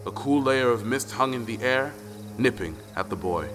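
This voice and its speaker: Male storyteller played by an actor for folktale audio drama, mid-range voice, music clearly underneath. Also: mid-range voice, animated, moderate pace, natural voice. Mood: storytelling. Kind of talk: scripted dramatic folktale narration. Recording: long reverb, music behind the voice.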